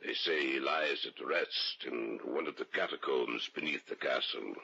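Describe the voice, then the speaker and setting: Gravelly, very deep ominous man. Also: measured pace, sharp tone, exaggerated voice. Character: ominous old European man, grave and courtly. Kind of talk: 1940s radio acting. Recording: muffled, hissy old radio drama recording.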